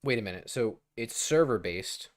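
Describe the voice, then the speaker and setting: A man's voice: mid-range voice, lively intonation, moderate pace. A man co-hosting a gaming podcast, talking in casual, joking banter. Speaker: podcast co-host.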